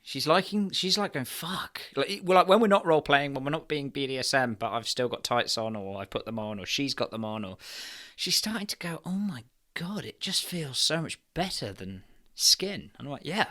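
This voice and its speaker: Brief: male podcast co-host, bright forceful voice, medium pitch, frank sweary delivery that rambles and circles back. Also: expressive, normal speed. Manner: frank, profane, rambling with repeated phrases. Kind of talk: casual candid chat.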